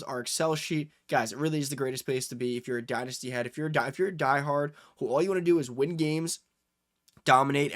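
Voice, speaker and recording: A young man with a congested cold voice, hyping a sale and walking through a game build in quick casual talk. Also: on the high side. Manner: fast, hyped, repeats himself while thinking aloud. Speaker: gaming YouTuber. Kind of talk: energetic sponsor pitch and game walkthrough.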